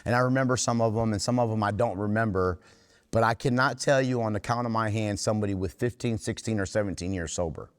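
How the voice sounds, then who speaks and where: A man's voice: on the low side, fast talker, clear, resonant tone. A man giving a candid, plain-spoken talk to a recovery group, driving home his points by repeating and rephrasing them. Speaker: workshop speaker at a recovery meeting. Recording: reverberant hall.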